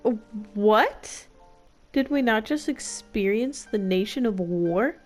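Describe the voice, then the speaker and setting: A woman's voice: mid-range voice, animated, slow pace. A woman doing casual, playful commentary as she streams a game, talking back to the characters. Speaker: gameplay streamer. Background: game music.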